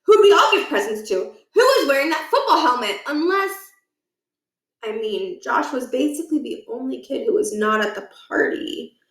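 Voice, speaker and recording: A woman's voice: high-pitched, big pitch swings, normal speed, roomy sound, pitched above the performer's own voice. A woman reading a storybook, playing an earnest schoolboy who reasons politely with grown-ups. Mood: puzzled. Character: earnest, persuasive schoolboy, polite student helper.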